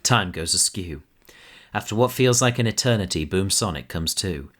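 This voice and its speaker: A man's voice: deep voice, expressive, normal speed, fairly bright tone. A man reading scripted story narration for an audio drama as its narrator.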